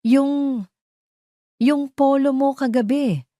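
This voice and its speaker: A woman voicing an anxious, halting wife in an audio drama. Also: high-pitched, steady tone, dark tone, no echo, clean studio sound, pitched above the performer's own voice. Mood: nervous. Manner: hesitant, halting. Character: anxious, hesitant wife who suspects betrayal.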